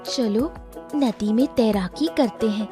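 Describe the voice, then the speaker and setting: A woman's voice: a little high, slow pace, warm tone, soft background music. A woman voicing a happy, romantic young royal in a cartoon story, affectionate and eager. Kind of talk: animated moral story dramatization.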